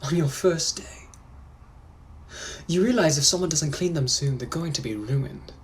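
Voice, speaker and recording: A young man putting on a British accent to play a suave, menacing nineteenth-century gentleman, talking smoothly, often half-whispered, and calling everyone darling. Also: a little high, measured pace, close to an everyday voice. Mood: haughty, commanding. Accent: British, put-on. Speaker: sketch creator. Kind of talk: POV comedy sketches.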